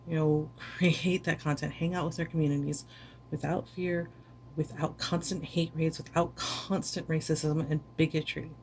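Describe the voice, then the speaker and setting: A woman's voice: low-pitched, a little mellow, slight background noise, poor audio. A weary woman streamer giving an earnest, impassioned speech to camera, repeating words for stress. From United States.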